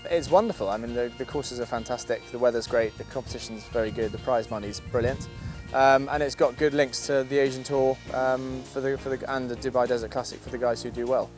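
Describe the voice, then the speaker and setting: A man's voice: medium pitch, fairly even intonation, a little fast, a little mellow, soft background music. A man from Scotland, a professional golfer, speaking enthusiastically in an interview with frequent ums.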